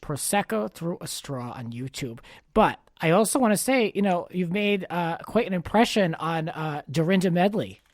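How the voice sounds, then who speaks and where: A man's voice: high-pitched, lively intonation, moderate pace, no echo, clean studio sound. A man hosting a podcast, interviewing in a casual, conversational style.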